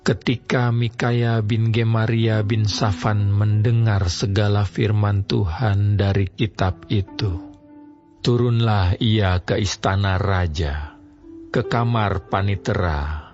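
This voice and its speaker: A man narrating a Bible reading in a slow, measured, even manner. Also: fairly low pitch, muffled recording. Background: music.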